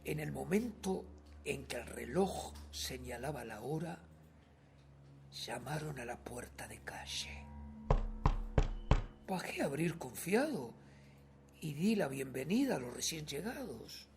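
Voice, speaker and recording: A Uruguayan actor playing a nervous, obsessive murderer who insists he is sane, in a theatrical, drawn-out radio drama reading that swings between hushed and forceful. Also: a little high, big pitch swings, creaky, slight background noise, heavily acted. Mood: smug, controlled. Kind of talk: dramatic first-person horror story reading, radio theatre acting.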